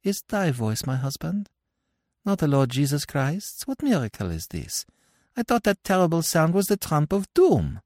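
A timid, devout old woman acted by a man speaks from her grave. The voice is lifted high, pleading and wondering, with archaic storybook phrasing and a dry, close sound. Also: sing-song, moderate pace, a little mellow, dry recording. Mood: awed. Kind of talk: dramatic storybook reading.

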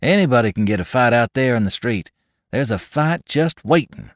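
Man putting on an urgent young messenger, storybook, emphatic, raised pitch. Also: expressive, normal speed, no echo, studio quality, natural voice.

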